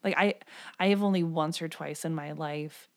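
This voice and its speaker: A woman's voice: deep voice, fairly even intonation, normal speed. A woman co-hosting a podcast, chatting casually about a show with filler words and self-deprecating asides. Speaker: podcast co-host. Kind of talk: casual chat.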